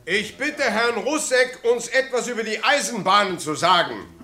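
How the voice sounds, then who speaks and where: An actor in a 1970s German radio play, playing a brisk, insistent questioner at a political meeting. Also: male voice, high voice, expressive, pushed and bright.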